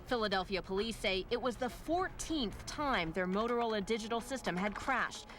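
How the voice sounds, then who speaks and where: Female TV reporter, measured scripted news read, light background hiss. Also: medium pitch. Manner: measured, formal delivery.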